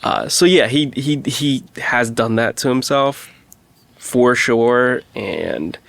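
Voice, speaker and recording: A man co-hosting a podcast in casual discussion, reasoning out loud tentatively and checking for agreement as he goes. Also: medium pitch, takes it slowly, creaky, no echo. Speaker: podcast co-host.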